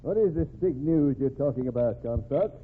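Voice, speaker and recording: An actor playing a stern, righteous American governor in an old-time radio drama, speaking formally and reproachfully. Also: male voice, medium pitch, expressive, moderate pace, narrow, tinny audio, natural voice. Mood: disapproving. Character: stern, indignant male state governor of principle. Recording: thin, band-limited, noisy old radio recording.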